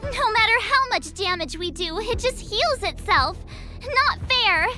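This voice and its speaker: Very high-voiced, spirited young girl adventurer. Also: animated, measured pace, pushed and bright, soft background music, full character voice. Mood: frustrated. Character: small, childlike, spirited young female adventurer, blunt. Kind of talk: video game voice acting.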